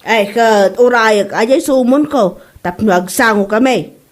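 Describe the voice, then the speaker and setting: A woman's voice: fairly high pitch, a little slow, lightly acted. A woman playing a stern but neighborly mother in a comedy drama, with an Ilocano Filipino accent. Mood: annoyed. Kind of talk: amateur comedy radio-style drama.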